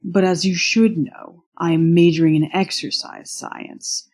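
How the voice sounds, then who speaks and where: An actor playing a stiff, earnest young male student in an audio drama, with a formal, precise way of speaking and a husky voice. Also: mid-range voice, steady tone, darkish tone, close to an everyday voice. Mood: stiff, reproving. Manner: formal, measured, precise wording without contractions. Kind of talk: audio drama acting of fan fiction.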